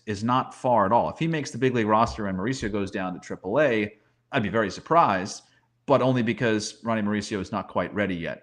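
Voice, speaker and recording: A man giving off-the-cuff sports analysis in casual talk, conversational, often repeating words as he thinks aloud. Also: medium pitch. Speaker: baseball commentator, guest analyst.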